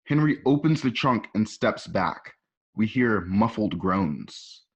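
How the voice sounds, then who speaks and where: A man's voice: lowish voice, lively intonation, slow pace, dull audio. A man reading stage directions for a radio drama in a conversational way, with casual asides. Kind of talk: informal scripted narration for radio drama.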